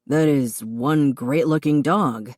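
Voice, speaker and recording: A woman voicing a grown man who ribs his friend in a slow, mocking drawl. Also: low voice, a little mellow, no echo, studio quality.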